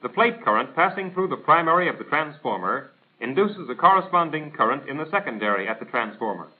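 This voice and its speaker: A man's voice: fairly high pitch, big pitch swings, fairly quick. An American man reading a scripted instructional narration in a formal, precise, didactic manner, in the style of a 1940s military training film. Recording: tinny band-limited 1940s film soundtrack.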